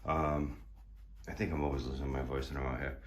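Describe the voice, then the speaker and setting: Very deep-voiced hoarse man in workshop. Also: little pitch movement. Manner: halting, explanatory, many fillers and restarts. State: hoarse, losing his voice. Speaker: car builder showing his project. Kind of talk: casual workshop walkthrough. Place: garage workshop.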